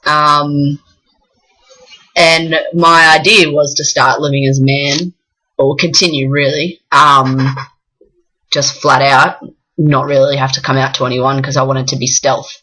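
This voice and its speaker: A young Australian man from the south coast of New South Wales, talking frankly and in a rambling way in a personal vlog, with many pauses. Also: mid-range voice, level tone, bright, forceful tone, low-quality recording. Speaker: vlogger. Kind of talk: casual personal vlog talk.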